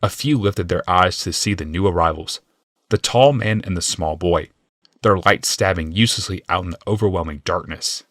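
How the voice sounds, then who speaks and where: Deep voiced guy reading a fantasy audiobook, dramatic narration, really dry clean sound. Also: normal speed, fairly bright tone, dry recording.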